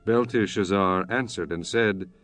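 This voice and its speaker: A man narrating dramatized scripture in a solemn, formal, measured manner. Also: low-pitched, fairly bright tone, faint music behind.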